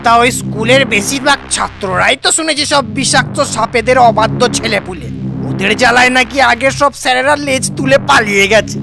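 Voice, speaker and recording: A man's voice: very high voice, a little fast, creaky. A man voicing a boastful male venomous snake in a comic cartoon, sneering, needling and threatening like a bully. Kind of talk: comic cartoon villain dialogue. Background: music.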